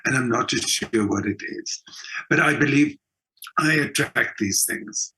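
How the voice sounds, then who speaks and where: An older man with a South African accent, telling anecdotes reflectively as an interview guest and often voicing imagined dialogue in an expressive way. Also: medium pitch, measured pace, slightly rough, low-quality recording. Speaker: interview guest, actor and singer.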